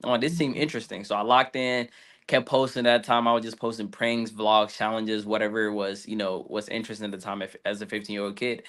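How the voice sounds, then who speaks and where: A man's voice: lowish voice, level tone, quick pace, fairly bright tone. A 23-year-old man, a guest social media creator, telling his story in a rambling, casual interview style. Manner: rambling, fillers like "like".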